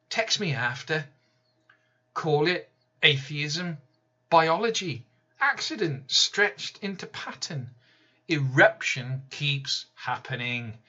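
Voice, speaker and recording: A man reading his own poem aloud in a slow, deliberate, measured way. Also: a little high, sharp tone, husky, muffled recording. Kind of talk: spoken-word poetry reading.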